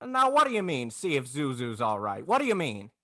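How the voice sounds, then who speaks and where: An actor playing an agitated small-town family man in 1940s radio drama, halting and stammering as he talks. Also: medium pitch, wildly swooping pitch, sharp tone, no echo, full character voice. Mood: irritable, frantic. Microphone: close, dry video-call microphone.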